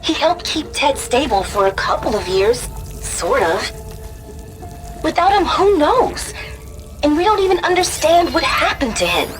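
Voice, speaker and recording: High-pitched teen girl, anxious and sardonic, confiding audio diary from a game, music under it. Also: very animated, measured pace, poor audio. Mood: troubled, sardonic. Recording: music behind the voice.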